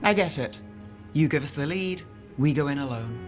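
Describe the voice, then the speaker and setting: Cool, dry game detective. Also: female voice, deep voice, animated, normal speed, soft background music. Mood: dry, guarded. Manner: terse, dry, matter-of-fact. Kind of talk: video game acting.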